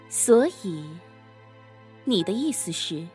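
A woman's voice: mid-range voice, darkish tone, breathy edge, full character voice. A woman acting a confident, teasing senior assassin with private wistfulness in a Chinese costume audio drama.

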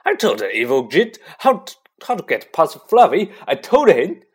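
A reader puts on a huge, soft-hearted storybook man. He is blunt and blustery, wears his feelings openly, and his pitch lurches about widely. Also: sing-song, unhurried, husky, exaggerated voice. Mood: sobbing, remorseful. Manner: loud, blustery, emotional delivery.

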